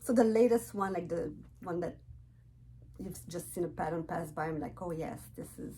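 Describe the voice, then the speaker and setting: A middle-aged woman hosting a knitting podcast, asking questions and encouraging her guest with quick affirmations. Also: lowish voice, dark tone, slight background noise. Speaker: podcast host. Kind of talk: casual interview chat.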